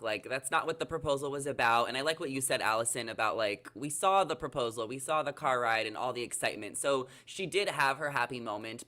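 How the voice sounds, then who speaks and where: A man's voice: medium pitch, pushed and bright. A man hosting a casual entertainment recap, chatting conversationally and steering the discussion. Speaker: talk show host.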